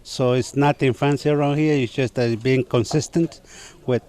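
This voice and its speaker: A man's voice: medium pitch, fairly even intonation, moderate pace, a little mellow. A plain-spoken man from Mexico who grew up in Brooklyn, answering interview questions as a chef and repeating his phrases. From Mexico, raised in Brooklyn, New York. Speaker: executive chef being interviewed. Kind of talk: casual on-camera interview. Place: inside a restaurant.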